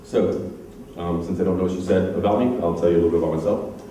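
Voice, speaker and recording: American male tech speaker, deep mellow voice, relaxed and chatty, recorded from afar in a big echoey hall. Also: fast. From United States. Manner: relaxed, chatty, frequent ums and uhs. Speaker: conference speaker. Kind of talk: technical conference talk. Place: large conference hall. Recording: echoey hall, distant mic, faint noise.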